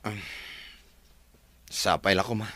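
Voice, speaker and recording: A man's voice: lowish voice, steady tone, slow pace, pushed and bright, no room sound. A man with an Ilocano Filipino accent playing a reassuring, devoted fiancé in a radio drama.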